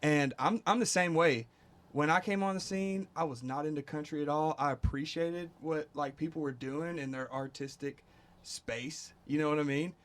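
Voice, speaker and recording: A man's voice: a little high, normal speed. A male podcast host talking casually, enthusiastic and swearing freely. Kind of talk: casual conversation.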